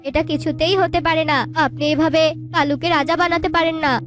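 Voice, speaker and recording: A woman voicing a selfish, impatient pigeon in a children's cartoon, curt and quick to protest. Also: high-pitched, fairly even intonation, fairly bright tone.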